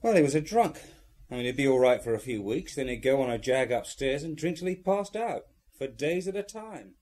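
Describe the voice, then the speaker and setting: A man's voice: medium pitch, animated, a little fast, darkish tone, close to an everyday voice. A man playing a wary, gossipy lodging-house keeper in a radio play. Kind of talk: radio drama acting.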